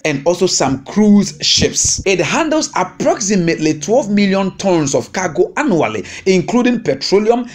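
A man from Ghana hosting a countdown channel, reading a scripted voice-over with emphatic, repetitive lists. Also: high voice. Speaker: host and narrator of a countdown video channel. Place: voice-over, not recorded in the pictured places.

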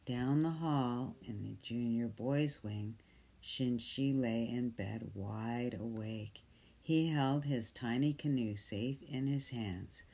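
A storyteller reading a picture book aloud, gentle and soft, sometimes dropping to a whisper. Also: medium pitch, takes it slowly, vocal fry. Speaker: storybook reader.

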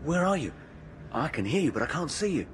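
A man's voice: fairly high pitch, very animated, fairly quick, slight background noise. An actor playing a naive, lovestruck space crewman in a science fiction radio drama, earnest and a little hesitant. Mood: searching.

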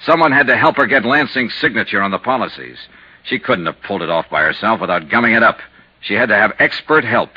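An actor playing a hard-boiled, weary insurance investigator in a 1950s radio detective drama. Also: male voice, lowish voice, expressive, fast, breathy edge. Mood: grim, determined. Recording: muffled 1950s radio recording.

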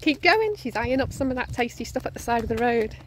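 A woman's voice: on the high side, big pitch swings, fast, a bit bright, slight background noise. A woman coaching a child on a pony outdoors, upbeat and full of praise. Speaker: parent coaching a child rider. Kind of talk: casual encouraging instruction. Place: outdoors at a rural pony paddock and lane.

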